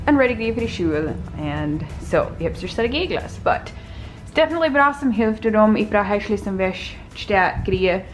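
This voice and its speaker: A woman in her thirties, a native Pennsylvania Dutch speaker, chats in a friendly way in a home vlog voiceover and laughs softly now and then. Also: on the low side. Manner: chatty, friendly, often laughs softly, mixes dialect and English. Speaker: vlogger, mother. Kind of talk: casual voiceover over cleaning footage. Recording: voiceover over clear background music.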